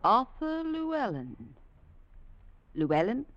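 A woman's voice: fairly high pitch, very animated. A woman playing a mysterious, knowing innkeeper of a strange village in a 1940s radio drama. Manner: slow, mysterious, deliberate. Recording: muffled vintage radio recording.

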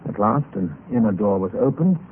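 Male actor for cool, composed gentleman adventurer in vintage radio drama. Formal, animated intonation, noisy thin recording. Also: lowish voice, brisk, narrow, tinny audio, natural voice. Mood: grave. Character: bored, cool gentleman adventurer, recounting his tale. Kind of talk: old-time radio drama acting and narration.

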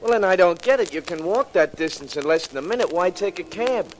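A high-pitched male American cab driver, folksy and full of grumbling wisecracks. It is 1940s radio drama with a faint layer of noise over the old recording. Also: expressive, brisk, poor audio. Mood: puzzled, nosy. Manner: colloquial, folksy.

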